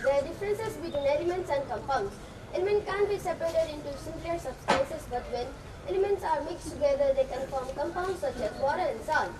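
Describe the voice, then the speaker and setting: A woman's voice: high-pitched, animated, a little fast. A woman in an amateur school play acting a pupil who reads aloud to the class. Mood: studious. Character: pupil reading science sentences aloud in class. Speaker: student actor in a school play. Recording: noisy, distant stage microphone.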